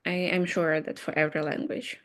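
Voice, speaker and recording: A woman from Ukraine who speaks Ukrainian as her first language, with a somewhat low voice. She speaks hesitantly in short, simple phrases as a tutor guest on a podcast. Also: flat delivery, brisk. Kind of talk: casual chat.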